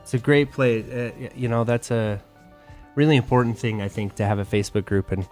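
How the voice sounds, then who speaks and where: A man co-hosting a podcast in casual chat, speaking informally with hesitant, trailing pauses. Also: medium pitch, steady tone, a little mellow, faint music behind. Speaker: podcast co-host.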